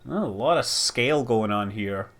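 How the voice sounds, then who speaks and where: A man's voice: on the low side, animated, moderate pace, a bit bright. A man giving casual live stream commentary as he plays, rambling, thinking aloud and exclaiming over details. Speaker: streamer playing a space building game.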